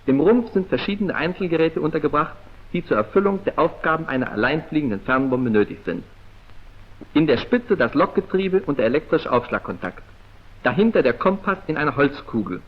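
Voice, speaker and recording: A male narrator from a 1940s training film, heard through a dull, muffled vintage soundtrack. His pitch sits fairly high and moves in lively swings, with a husky edge. He speaks in a formal, precise and clipped declamatory manner. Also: fairly quick.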